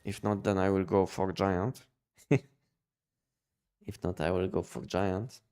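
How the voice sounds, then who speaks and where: A man chatting casually on a game livestream, explaining things in a halting, repetitive way. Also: deep voice, little pitch movement, mellow, clean tone. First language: not English. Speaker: game live streamer.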